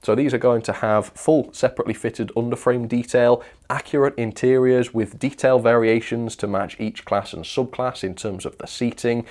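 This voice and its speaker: A man's voice: medium pitch, fairly even intonation, normal speed, a little mellow. A man presenting hobby news in an informal review style, chatty, enthusiastic and ready with his opinions. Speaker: presenter of a model railway news show. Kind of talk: informal product news review to camera or as voice-over.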